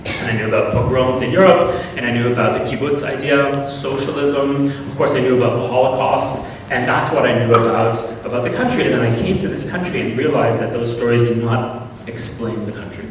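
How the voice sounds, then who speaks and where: A man from Canada, giving a measured, reflective panel talk with frequent ums and restarts. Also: medium pitch, a little hiss or hum. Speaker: panel speaker. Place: large auditorium. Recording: echoey hall, far from the mic.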